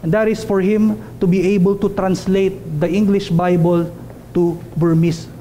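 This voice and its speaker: Male preacher, thirties, high mellow voice, earnest, repeats lines for stress, headset mic in a small church hall with faint room hum. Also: little pitch movement, moderate pace. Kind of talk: sermon.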